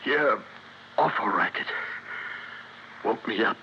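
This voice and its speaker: An actor playing an ailing, agitated drunken husband in a radio drama, speaking in halting fragments. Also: male voice, lowish voice, animated. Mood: weak, shaken. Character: sick, agitated, drink-sodden husband. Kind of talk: hardboiled radio drama acting. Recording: dull, noisy old radio recording.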